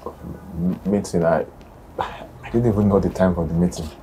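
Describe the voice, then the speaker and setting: An actor playing a polite, hesitant new office employee in film dialogue. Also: male voice, low-pitched, steady tone, normal speed, warm tone, slight background noise, close to an everyday voice, low-quality recording. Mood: hesitant dismay.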